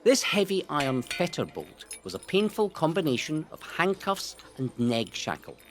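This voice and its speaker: A Scottish man reading a scripted history narration in a theatrical, relishing way, with deliberate emphasis. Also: fairly high pitch, sing-song. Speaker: narrator of a local history audio guide. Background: music.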